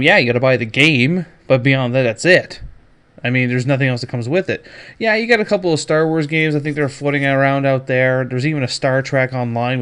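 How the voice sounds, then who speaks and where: A man hosting a podcast, giving casual, opinionated gaming news commentary with mocking asides and swearing. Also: medium pitch. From Canada. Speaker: podcast host.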